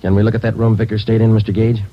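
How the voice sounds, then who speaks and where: An actor playing a terse, businesslike police detective sergeant in a 1940s radio drama, clipped and deadpan. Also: male voice, lowish voice, rapid-fire, a little hiss or hum, lightly acted. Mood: terse, dry. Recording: dull vintage radio recording with music.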